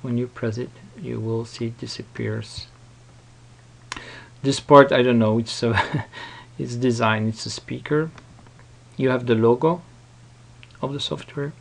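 Man, tutorial presenter, hesitant, unhurried pace, vocal fry. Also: mid-range voice, steady tone. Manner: hesitant, halting explanation. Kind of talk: casual unscripted screen-recording walkthrough.

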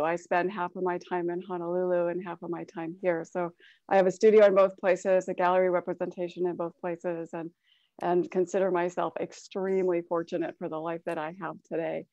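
A woman's voice: on the low side, a little mellow, no room sound. Chatty woman, dull dry call audio. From Cleveland, Ohio. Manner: friendly, chatty, some fillers. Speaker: artist on a panel. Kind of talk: casual panel talk. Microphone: video call.

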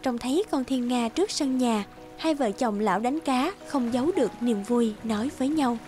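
A woman's voice: a little high, moderate pace, mellow. A woman narrator reading a scripted fairy tale for a cartoon. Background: background music.

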